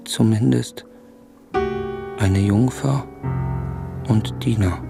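An actor playing an earnest young gentleman lost in longing, speaking formally and with measure in a literary radio play. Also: on the low side, drawn-out words, creaky, music in the background, exaggerated voice. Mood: polite, formal. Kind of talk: literary radio play acting, inner monologue.

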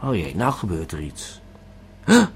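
A man's voice: low-pitched, huge pitch swings, a little slow, slightly rough, full character voice. A man playing a panicky, bewildered holidaymaker tripping on a pill in an audio drama monologue, hesitant and stammering. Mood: stunned amazement. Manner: stammering, hesitant, repeating words.

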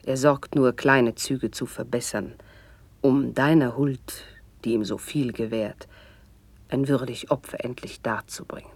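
An eloquent court noblewoman in a radio drama. Her voice is mellow and dark with a husky breath, delivering elevated, poetic verse with poise in a dry studio. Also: rumbling low, fairly even intonation, a little slow, no room sound, full character voice. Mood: reassuring. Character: eloquent, devoted noblewoman, the princess's learned confidante. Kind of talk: classic German radio play, declaimed stage verse.

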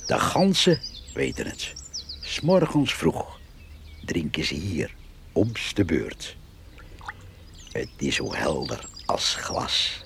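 Radio play: blunt, folksy male villager, lowish and very expressive, slight background noise. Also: slow, creaky, pauses often, heavily acted. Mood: folksy, proud. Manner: folksy, talks to himself. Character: countryman called the fox, chatty, blunt, meddlesome. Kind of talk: Dutch radio drama acting. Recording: noisy radio drama recording.

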